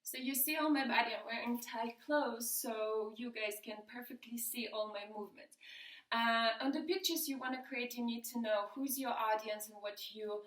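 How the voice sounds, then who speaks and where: A woman's voice: on the high side, moderate pace, fairly bright tone, poor audio. A woman, a model and coach giving posing tips live on a phone. She talks in a chatty, instructive way with many fillers and nervous little laughs. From Lithuania. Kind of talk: live-stream posing tutorial. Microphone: phone camera.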